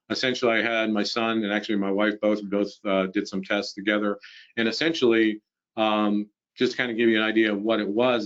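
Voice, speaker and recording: A middle-aged American man presenting a product webinar in an informal, explanatory, conversational way over a video call. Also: lowish voice. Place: small indoor room, on a video call. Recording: dull, lo-fi webinar audio.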